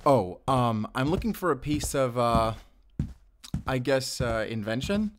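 A man's voice: medium pitch, animated, unhurried, noisy background, natural voice. Man acting a flustered, chatty, awkward neighbour guy. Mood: chatty, sheepish. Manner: flustered, apologetic, chatty with nervous chuckles. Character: awkward, rambling, friendly bird-loving single dad neighbour. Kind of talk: audio roleplay addressed to the listener.

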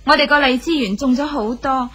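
An actress playing a lively, frank young orchard girl, polite and chatty, in a radio drama. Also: sits high, a little fast, husky. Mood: admiring. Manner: eager, chatty. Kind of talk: Cantonese radio drama acting.

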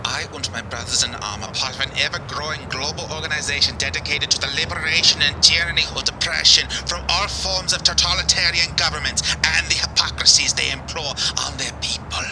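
A man's voice: on the high side, fairly quick, hardly any pauses, natural voice. A man playing an arrogant terrorist leader in a radio play, speaking in a pompous, formal, commanding way. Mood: cold, pompous. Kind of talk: radio play villain acting. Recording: noisy background of traffic ambience.

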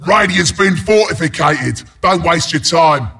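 An actor voicing a scrappy, cocky porcupine brawler as a video game announcer, with a London Cockney accent, a gravelly rasp and cheeky, blunt banter. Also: male voice, a little high, animated, a little slow, pushed and bright, full character voice. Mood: brash announcing. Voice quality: gravelly, raspy.

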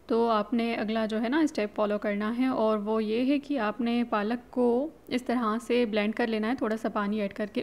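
Woman doing a home cooking voiceover, kinda high voice, chatty and instructive, tosses in english words. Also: darkish tone. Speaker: cooking channel host. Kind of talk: casual recipe voice-over.

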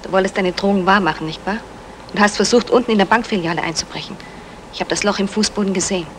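An actress as a quick-talking, anxious wife. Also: female voice, mid-range voice, lightly acted. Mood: suspicious. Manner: earnest, questioning. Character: anxious, questioning wife in a crime comedy. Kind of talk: 1960s German radio play acting. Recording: noisy old radio play recording.

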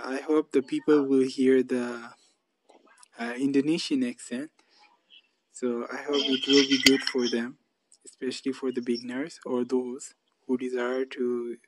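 A man's voice: medium pitch, slight background noise, rough recording. Man hosting an English practice call. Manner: simple non-native English, repeats phrases. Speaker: YouTube channel host. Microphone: video call.

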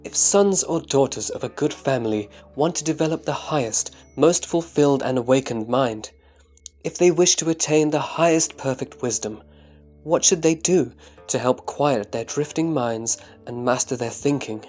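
A man's voice: medium pitch, normal speed, a little mellow, lightly acted. A man playing a venerable disciple who puts formal, earnest questions to his master in a scripted audio drama. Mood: respectful, earnest. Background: music.

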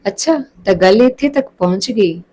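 A woman's voice: medium pitch, animated, moderate pace, a little mellow, close to an everyday voice, poor audio. A woman storyteller voicing a teasing, bold young female college student in colloquial, feisty speech.

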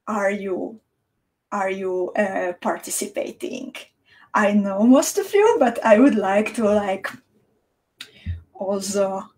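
A woman in her thirties hosts a casual craft livestream in a Central European accent. Her voice sits fairly high and she takes her time, speaking slowly and cheerfully, with small halting repeats. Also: darkish tone. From Slovakia. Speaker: beading teacher and livestream host. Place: small indoor home studio room.

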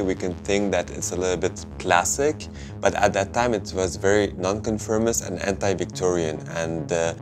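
A man in his thirties with a very low voice, speaking calmly and reflectively. He sits in a hotel lounge and talks to the camera, with music playing under him. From Lebanon. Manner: calm, reflective, speaks with open hand gestures. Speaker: filmmaker and photographer. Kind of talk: documentary interview.

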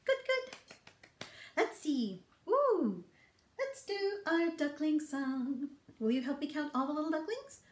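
High, lively middle-aged woman hosting toddler storytime. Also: slow pace. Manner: playful, encouraging, singsong. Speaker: children's storytime librarian host. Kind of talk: read-aloud, songs and rhymes for toddlers. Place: small book-lined office. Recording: roomy indoor echo.

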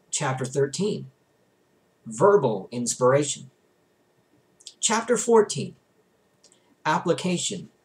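Young man reading aloud with swooping pitch. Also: rough recording. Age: young adult. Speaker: reader, vlogger. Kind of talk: expressive book reading aloud. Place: small bedroom, indoors.

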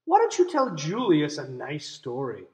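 A man's voice: a little high, huge pitch swings, moderate pace, a little mellow. A man voicing doting mouse parents from a picture book, who gush and chide with exaggerated emphasis. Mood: coaxing. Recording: roomy, echoey room sound.

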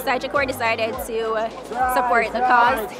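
A young woman speaking in a measured, articulate way in a street interview outdoors. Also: a little high, little pitch movement, over loud music, a loud crowd. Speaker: run participant. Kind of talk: street vox pop interview. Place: busy city street outdoors in Jamaica.

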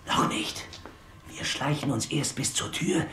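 An actor playing a cautious, shrewd Western sheriff in a children's audio drama. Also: male voice, medium pitch, a little slow, sharp tone, echoey room, slight background noise. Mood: hushed, wary.

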